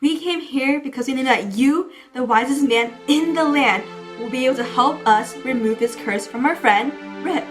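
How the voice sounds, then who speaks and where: A woman acts as a sincere young girl in a fantasy audio drama. The voice is high and pleading, full of care for others. Music sits clearly behind it. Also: moderate pace, few pauses, lightly acted. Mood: earnest, defensive. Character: earnest, caring young girl on a desperate quest.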